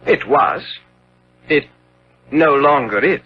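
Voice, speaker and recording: An actor playing a corrupt, secretive young gentleman in a theatrical, insinuating manner, in 1970s radio drama style. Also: mid-range voice, animated, takes it slowly, faint music behind. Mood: darkly ominous. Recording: dull, hissy old radio broadcast.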